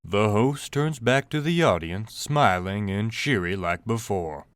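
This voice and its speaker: A man's voice: lowish voice, animated, measured pace, smooth, dry recording. A man reading scripted narration for a comedy audio drama.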